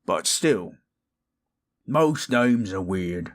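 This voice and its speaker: A man playing a gruff, weary but good-hearted orc adventurer in a fan audio drama, blunt and grumbling. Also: low voice, animated, extremely slow, exaggerated voice.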